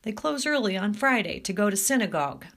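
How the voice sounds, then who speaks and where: A woman's voice: mid-range voice, sing-song, brisk, a bit bright. Woman playing an earnest, nervous teenage boy. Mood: defensive.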